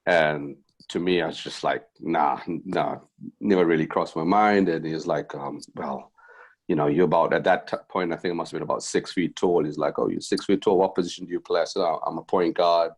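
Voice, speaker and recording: Deep-voiced man from Zimbabwe, rambling. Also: steady tone, brisk, slightly rough. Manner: rambling, many fillers and restarts. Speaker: basketball head coach, guest. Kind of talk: casual interview chat.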